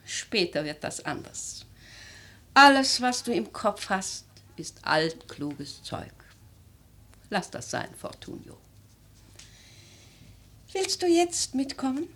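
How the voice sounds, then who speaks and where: Radio drama grandmother: aristocratic old lady, imperious yet life-loving, middle-pitched, stagey lyrical phrasing. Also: big pitch swings, slightly rough, heavily acted. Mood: impatient, scolding. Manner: theatrical, measured, lyrical, with emphatic swells and hushed phrases. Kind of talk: literary German radio drama acting.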